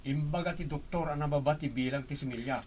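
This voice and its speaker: A man's voice: fairly high pitch, fast, background noise, close to an everyday voice. A man playing an insistent husband and son in an audio drama. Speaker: voice actor. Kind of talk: dramatised audio story acting.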